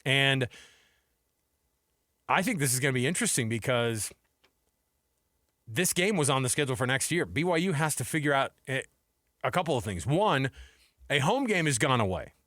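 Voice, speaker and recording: A man's voice: medium pitch, expressive, normal speed, pauses often, pushed and bright, no room sound. Casual male sports radio host, dry studio sound. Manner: informal, conversational, addresses listeners and co-host as man and dude.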